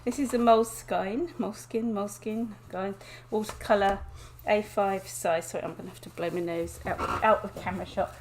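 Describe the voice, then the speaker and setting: A woman from England, chatting casually to viewers on a live stream from her desk, croaky and sniffly with a cold, often laughing. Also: medium pitch, steady tone, normal speed, hardly any pauses, noisy background. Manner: chatty, giggly, greets viewers by name. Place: home desk indoors.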